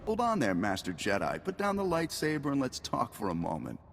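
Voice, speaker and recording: Casting: wry game pirate chief, lively swings in pitch, polite bargainer, reverberant noisy hall. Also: male voice, gravelly. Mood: wry, placating. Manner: polite, wry, measured hesitations. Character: smooth-talking male pirate leader, wry, bargaining, calculating. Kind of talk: video game voice acting.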